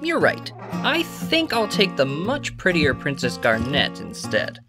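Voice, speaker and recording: A woman's voice: deep voice, wildly swooping pitch, over music, pitched below the performer's own voice, exaggerated voice. A woman voicing a cocky, mischievous teenage boy thief in a theatrical fantasy audiobook, with a teasing manner. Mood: smug teasing. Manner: teasing, slow, swooping.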